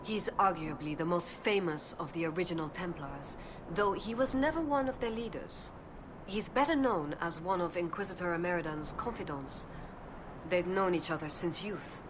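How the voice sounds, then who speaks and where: A low-pitched young elven woman voiced by an actress speaks as an earnest fantasy game scholar. Her delivery is precise, thoughtful and determined. Clear background noise sits behind the dialogue. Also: moderate pace, lightly acted. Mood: thoughtful, explanatory. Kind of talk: video game voice acting.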